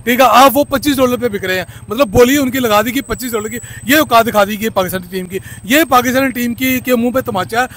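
A man's voice: extremely high pitch, fast talker, hardly any pauses, a bit bright, slight background noise. A young man ranting heatedly in a street vox pop at night, talking into a handheld mic. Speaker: interviewee. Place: city street at night, outdoors.

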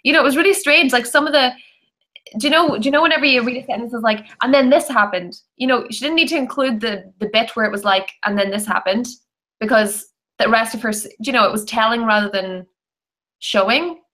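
A woman, giving her opinions in a chatty, animated way in a casual book discussion. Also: on the high side, brisk. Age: 20s. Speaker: book club panelist. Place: bedroom, indoors. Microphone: computer video call.

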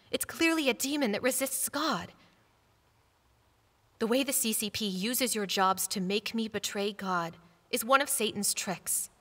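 A woman's voice: on the high side, fairly bright tone. A woman acting a devout, steadfast middle-aged mother in a stage drama, speaking with earnest conviction. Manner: earnest, pleading, preaching. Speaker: stage actress. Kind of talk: earnest stage play acting, English dub. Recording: reverberant stage hall, music behind.

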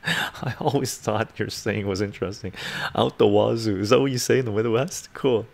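Older man, gravelly low voice, livestream chat. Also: steady tone, moderate pace. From Canada. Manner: chatty, answers viewer comments aloud. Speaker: livestream host reading chat. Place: home study with bookshelves. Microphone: lapel mic.

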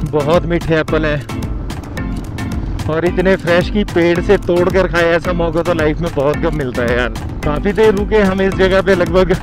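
A middle-aged man from India narrates his travel vlog in a slightly high voice. He talks casually and enthusiastically straight to his viewers, dropping in English words. Music plays behind him. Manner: enthusiastic, addresses viewers directly, mixes English words into speech. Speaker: travel vlogger. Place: outdoors on mountain roads and in orchards.

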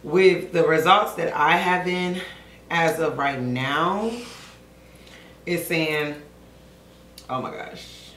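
A young woman vlogging to camera at home, gushing and excited as she talks to her viewers. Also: low voice, slow, pauses often, echoey room, a little hiss or hum. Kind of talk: casual vlog talk to camera. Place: living room at home.

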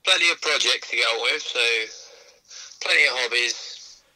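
A British man chatting casually and matily, heard over a phone played on loudspeaker. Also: medium pitch, normal speed, poor audio. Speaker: friend interviewed by phone. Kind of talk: casual chat. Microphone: phone speaker picked up in the room.

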